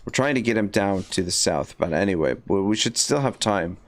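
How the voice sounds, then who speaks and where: A man's voice: on the low side, animated, a little fast. A man with a scratchy voice giving rambling, casual commentary while livestreaming a strategy game, with many fillers and restarts. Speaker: livestream gamer.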